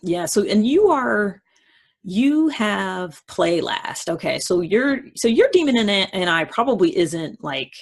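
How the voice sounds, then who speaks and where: A woman hosting a casual interview chat, talking in a thoughtful, halting way that tends to trail off. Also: mid-range voice, very animated, husky. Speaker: host.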